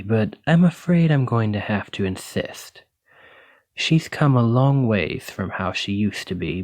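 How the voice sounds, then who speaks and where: Male actor as dragon-blooded librarian boyfriend, lowish pitch, earnest, reassuring, bookish, gentle, ASMR roleplay. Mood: affectionate, sheepish. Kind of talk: ASMR roleplay, speaking softly to a partner.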